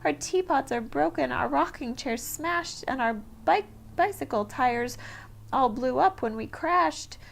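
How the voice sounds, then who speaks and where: A woman voicing a tiny, cheerful and grateful male town mayor with a high, piping, eager manner. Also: expressive, a little slow, gravelly, exaggerated voice. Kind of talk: storybook read-aloud.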